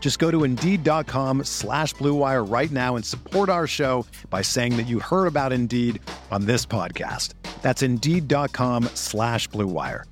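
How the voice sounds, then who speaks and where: A man's voice: mid-range voice, lively intonation, a little slow, soft background music. A man reading a scripted advertisement as an announcer. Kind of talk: scripted ad read.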